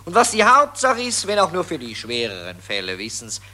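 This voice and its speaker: A smug, harsh Viennese officer in a radio play, drawling and boasting. His voice is bright and lively, rising and falling freely as he brags. The tone stays cocky throughout. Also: a little high, brisk, full character voice.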